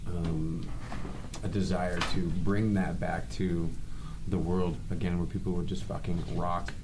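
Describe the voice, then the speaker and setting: A man with a deep, low voice talks loosely about his band in a studio. He stumbles and restarts often and drops casual swear words. Clear background noise hangs under the recording. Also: level tone, unhurried. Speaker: rock band singer and guitarist. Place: radio studio.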